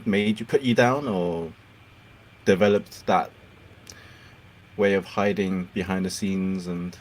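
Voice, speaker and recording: A man's voice: deep voice, slow pace, poor audio. A man whose first language is Cantonese, hosting a casual interview, encouraging and laughing often. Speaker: podcast host.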